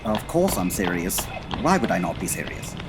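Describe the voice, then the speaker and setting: A woman playing a pompous, dryly impatient male Victorian detective with a husky voice and formal, precise diction, in a comedy audio drama. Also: rumbling low, big pitch swings, normal speed, loud music behind the voice. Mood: formal, brisk.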